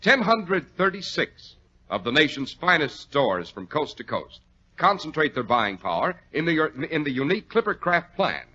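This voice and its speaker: A dull old radio recording of an American man announcing in 1940s style. His delivery is forceful and very animated, with a slightly rough-edged tone and a polished, salesmanlike manner. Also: on the high side, normal speed.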